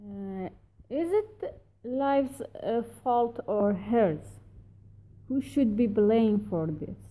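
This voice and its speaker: A woman speaks slowly with a soft, mellow tone. She picks her words carefully and pauses often as she works through her thoughts. She sounds reflective and keeps asking questions. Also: a little high, animated. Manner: halting and careful, pausing often to find words. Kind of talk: recorded spoken reply about a story.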